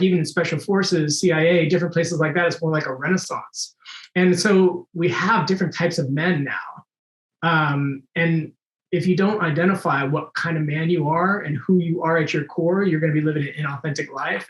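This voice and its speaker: Man, forties, higher voice, relaxed guest, echoey room recording. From United States. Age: 40s. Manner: laid-back, laughs easily, trails off with fillers. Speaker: guest, former Navy SEAL. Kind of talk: casual interview chat.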